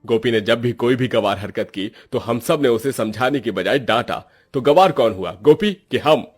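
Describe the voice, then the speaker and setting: A man voicing an indignant, emphatic young husband with a husky voice in a moral story. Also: mid-range voice, expressive, normal speed. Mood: protective. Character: exasperated young husband, scolding yet protective. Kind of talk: dramatized Hindi moral story dialogue.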